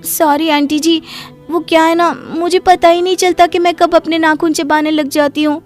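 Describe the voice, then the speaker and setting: A woman's voice: very high-pitched, flat delivery, moderate pace, soft background music. A woman voicing a timid, apologetic young daughter-in-law in a cartoon moral story, in a pleading, whiny way. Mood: polite, sheepish.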